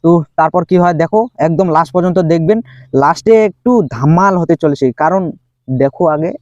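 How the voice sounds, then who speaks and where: A man's voice: fairly high pitch, a little fast, darkish tone, no room sound, dull audio. A man talking casually to his viewers as host, introducing a clip. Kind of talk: casual vlog talk to viewers.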